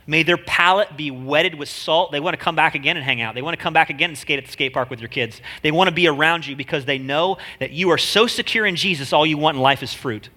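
Man, nasal voice, slightly high pitch, guest preacher, chatty joking sermon. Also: fast, few pauses, sharp tone. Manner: chatty, joking, slangy delivery. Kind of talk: casual sermon.

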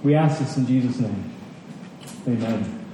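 A man's voice: medium pitch, a little slow, dark tone. A man preaching a sermon to a congregation, using rhetorical questions and repeated phrases for emphasis. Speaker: pastor preaching. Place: large room at a church gathering. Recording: echoey hall, distant mic, faint noise.

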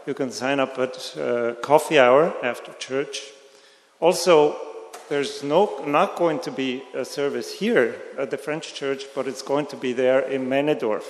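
A man serving as church elder, giving the announcements with a light, joking touch. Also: mid-range voice. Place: large church sanctuary. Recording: echoey church hall.

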